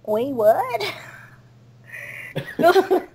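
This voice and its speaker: A woman's voice: very high-pitched, big pitch swings, drawn-out words, a little mellow. A woman hosting an informal cast interview in a casual, chatty style. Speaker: host and audio drama creator. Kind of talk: casual group interview chat.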